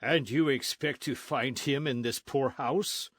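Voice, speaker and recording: A deep-voiced old friar in his sixties speaks with stern, fearless defiance, as a man puts him on. The sound is dry and close. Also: measured pace, a bit bright, husky, dry recording. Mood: defiant. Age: 60s.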